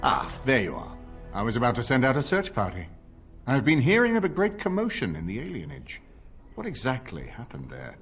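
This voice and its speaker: A man's voice: medium pitch, huge pitch swings, a little fast, a bit bright, soft background music. An actor playing an urgent, authoritative nobleman in a video game, stately and emphatic. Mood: urgent resolve. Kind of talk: video game cutscene acting.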